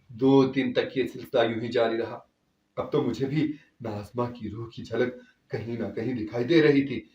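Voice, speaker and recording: A man's voice: medium pitch, a little mellow, highs cut off. A man narrating a scripted first-person story in an emotive, theatrical storytelling manner. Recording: echoey, distant-sounding voice.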